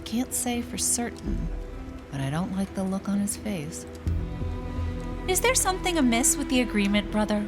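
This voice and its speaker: An actress playing an impatient aristocratic sister who speaks in a direct, insistent, demanding way in an audio drama. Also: female voice, mid-range voice, expressive, normal speed, pauses often, over music, natural voice.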